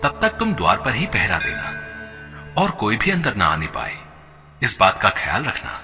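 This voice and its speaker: A man voicing a calm divine king. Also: fairly low pitch, unhurried, exaggerated voice. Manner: calm, authoritative. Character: calm divine male king, gentle and noble. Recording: long reverb with background music.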